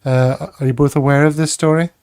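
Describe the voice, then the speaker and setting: A man's voice: on the high side, fairly even intonation, fairly quick, darkish tone, clean tone, no room sound, studio quality. A man hosting a casual football podcast, upbeat and chatty as he greets guests and reads out comments. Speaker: podcast host.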